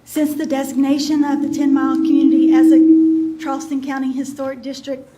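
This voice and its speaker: A woman's voice: sits high, a little fast, very noisy. A woman on planning staff reading a formal report at a public meeting. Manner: steady, formal reading of figures. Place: county meeting chamber.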